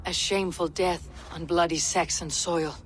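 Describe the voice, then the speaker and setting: A woman's voice: on the low side, steady tone, normal speed, heavy background noise, natural voice. A woman playing a stern, blunt Viking warrior in a video game, questioning in a terse, commanding way. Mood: solemn, resolute. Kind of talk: video game cutscene acting.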